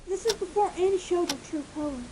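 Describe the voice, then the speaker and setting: A woman's voice: very high voice, fast. A woman voicing a frightened young filly, hesitant and childlike, in a dramatic fan fiction reading. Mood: afraid, earnest. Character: frightened young filly confessing dark secrets. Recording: noisy home recording.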